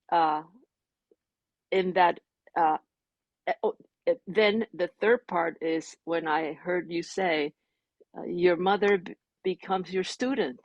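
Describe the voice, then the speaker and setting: A woman's voice: lowish voice, slow, long pauses, no room sound, muffled recording. A woman whose first language is Spanish, a Zen priest asking a question, searching for words with many fillers. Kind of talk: remote Q&A question.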